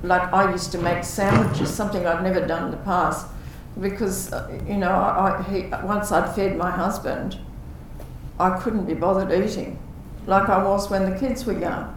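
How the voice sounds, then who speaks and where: A woman's voice: mid-range voice, fairly even intonation, moderate pace, heavy reverb. Older woman, earnest, reflective, far from the mic, faint background noise. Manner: earnest, reflective, with restarts and quoted dialogue. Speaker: former carer, guest. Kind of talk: reflective conversation.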